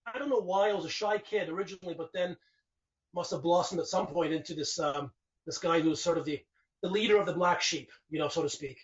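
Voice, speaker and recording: A man's voice: on the high side, fast talker. A man in his forties, raised speaking Finnish in Canada, reflecting at home in a casual interview with rambling restarts. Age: 40s. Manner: rambling and reflective, frequent restarts and "you know". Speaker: guest. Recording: distant, dull lo-fi mic.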